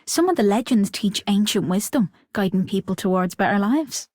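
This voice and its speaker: A woman's voice: medium pitch, animated, moderate pace, darkish tone, no echo, clean studio sound, close to an everyday voice. A woman voicing an earnest, encouraging game character who shares old folk wisdom like a storyteller. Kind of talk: scripted game character greeting.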